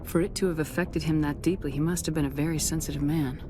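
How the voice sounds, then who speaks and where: An actress playing a calm, firm, direct female starship commander in a video game. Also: low-pitched, fast talker, close to an everyday voice. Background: game music.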